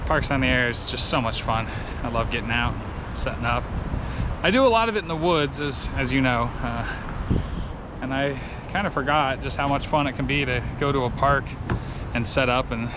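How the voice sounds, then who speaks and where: Man vlogging outdoors over clear background noise. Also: medium pitch, moderate pace. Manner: enthusiastic, rambling. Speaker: amateur radio vlogger. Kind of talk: casual vlog chat to viewers. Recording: clear outdoor ambient noise, passing cars.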